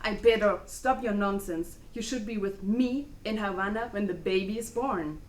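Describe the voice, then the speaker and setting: A woman acting a snobbish, fiery pregnant Cuban wife in a dramatic play reading, expressive, with Spanish exclamations mixed in. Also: medium pitch, moderate pace, natural voice. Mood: snobbish, sarcastic. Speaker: student actor. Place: indoor room, live play reading. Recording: echoey room with faint background noise.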